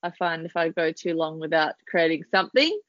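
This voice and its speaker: An Australian woman in her thirties, a podcast guest who chats casually, laughs easily and fills pauses with ums. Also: low voice, expressive, a bit bright, no echo, lo-fi sound. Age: 30s. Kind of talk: casual interview chat.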